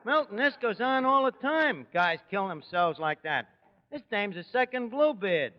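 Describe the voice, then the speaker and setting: An actor playing a wisecracking New York tavern manager in a 1940s radio comedy, slangy and ungrammatical, with a New York accent. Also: male voice, high voice, very animated, moderate pace. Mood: wry. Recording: muffled, reverberant 1940s radio recording.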